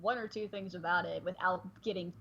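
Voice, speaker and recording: A woman in her early twenties chatting casually as a guest on a livestream, with a husky voice. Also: on the low side, steady tone, a little fast, fairly bright tone. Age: early 20s. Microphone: online voice call. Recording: dull lo-fi voice-chat audio.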